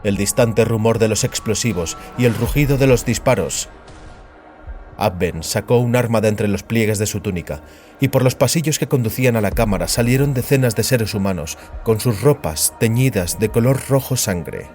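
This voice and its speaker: A man's voice: lowish voice, moderate pace, darkish tone, faint music behind. A man giving a dramatic narration of scripted fiction as an audiobook narrator.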